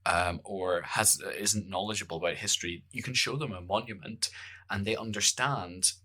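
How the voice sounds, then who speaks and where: A man's voice: low-pitched, fairly even intonation, moderate pace, bright, forceful tone, husky. A Northern Irish man hosting a podcast, chatting casually in a rambling, jokey way with lots of fillers. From Northern Ireland. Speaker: podcast host. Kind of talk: casual conversational interview.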